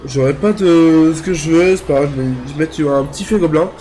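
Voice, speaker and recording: A young man gives relaxed, thinking-aloud commentary on his own mobile game. A head cold leaves him nasal and stuffy, his voice sits a little high, and a faint hiss runs under the recording. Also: fairly quick. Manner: chatty, thinks aloud while playing. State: congested, talking through the nose from a cold. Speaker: gaming youtuber. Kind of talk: casual gameplay commentary.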